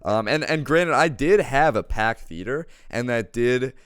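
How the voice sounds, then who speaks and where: A man's voice: mid-range voice, expressive, unhurried, clean tone, no echo, clean studio sound. A man co-hosting a casual, enthusiastic review, speaking effusively with slangy wording and emphatic repetition. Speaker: co-host giving a movie review.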